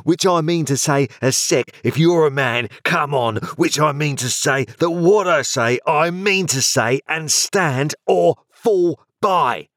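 A man voicing a kindly, rough-spoken village blacksmith with broad rustic speech. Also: mid-range voice, a little slow, darkish tone, no echo, studio quality, pitched above the performer's own voice, close to an everyday voice. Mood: indignant.

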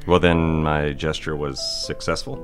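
Man as very deep-voiced charming suitor. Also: little pitch movement, moderate pace. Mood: delighted. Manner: gallant, slightly bashful, old-fashioned wording. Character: charming, eager, courteous gentleman suitor. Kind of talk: audio drama dialogue. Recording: music under the voice. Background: music.

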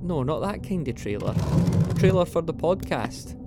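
A man's voice: fairly high pitch, very animated, moderate pace, very noisy. A man playing an eager, slightly defensive crewmate in a conversational scripted audio drama. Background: music.

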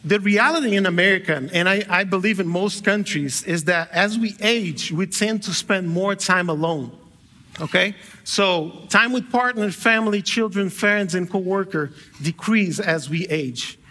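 A man's voice: high-pitched, a little slow, pushed and bright. A man from Brazil preaching a sermon to a church congregation. He speaks conversationally, often correcting himself mid-sentence and adding light humor. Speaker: guest pastor. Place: church sanctuary with a live congregation.

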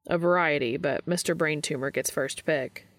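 A woman's voice: sits low, normal speed, dry recording, lightly acted. A woman voicing an easygoing, caring young man in an audiobook, casual and joking. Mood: teasing.

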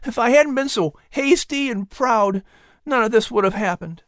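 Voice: medium pitch, lively intonation, normal speed, no room sound, studio quality, voice pushed up, close to an everyday voice. An audiobook narrator voicing an earnest, eager young boy in colonial times. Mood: confessing.

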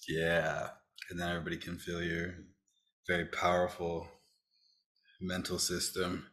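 Male podcast host, low register, conversational, halting delivery that often restates phrases. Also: monotone, a bit bright. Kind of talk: casual conversational discussion.

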